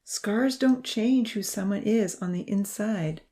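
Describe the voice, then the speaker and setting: Woman playing loyal male friend, gentle, earnest, calm, compassionate, reassuring, audiobook dialogue. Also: medium pitch, takes it slowly, lightly acted. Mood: firm, reassuring.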